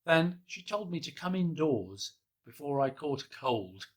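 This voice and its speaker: Soft-spoken man reading a children's story. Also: a little high, very animated, unhurried. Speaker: storybook reader. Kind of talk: gentle first-person children's story reading.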